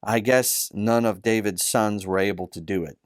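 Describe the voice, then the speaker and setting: A man's voice: fairly low pitch, normal speed, dry recording, voice pushed down, natural voice. Storybook little girl, wistful and questioning, read by a man; dry sound. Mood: sad. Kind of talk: storybook dialogue.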